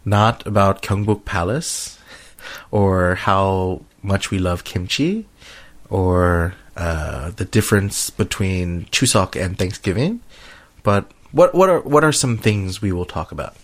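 Slow, low-voiced American man. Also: lively intonation. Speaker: podcast host. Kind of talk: casual co-hosted conversation.

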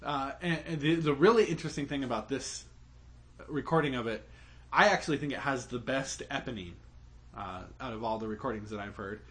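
A man co-hosting a podcast, explaining a topic at length in relaxed chat. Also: mid-range voice, brisk. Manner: explains at length, with fillers and restarts. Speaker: podcast co-host. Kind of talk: casual chat.

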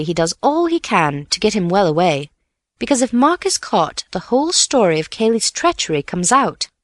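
A woman reading an audiobook voices an eager, excitable young man who is quick to spin theories. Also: a little high, dry recording, clean studio sound, pitched above the performer's own voice. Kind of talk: audiobook character voice.

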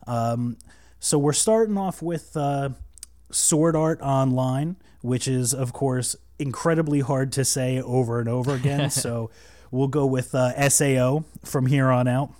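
A man's voice: medium pitch, a little slow, darkish tone. A man hosting a casual podcast, rambling with many fillers and restarts, a little nervous, on a cheap microphone. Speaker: podcast host.

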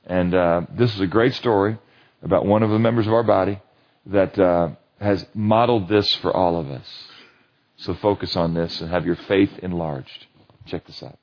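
A man's voice: deep voice, normal speed, highs cut off. A man leading a men's Bible study, preaching in a conversational, emphatic way and often checking that listeners agree. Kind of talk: sermon.

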